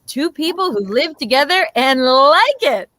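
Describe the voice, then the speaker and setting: A woman's voice: high voice, expressive, unhurried, sharp tone, no room sound. A woman announcing and narrating an amateur old-time radio show in a classic announcer manner.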